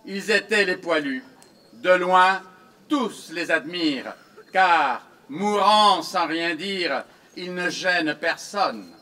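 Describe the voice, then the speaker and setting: A man reciting his own commemorative poem in a solemn, theatrical, declamatory style with measured phrasing. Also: high-pitched, sharp tone.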